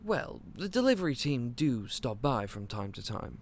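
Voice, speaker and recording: An actor playing a gentle, clumsy candy shop owner who stammers and speaks in a polite, old-fashioned way. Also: male voice, medium pitch, big pitch swings, moderate pace, slight background noise. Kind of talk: audio roleplay acting.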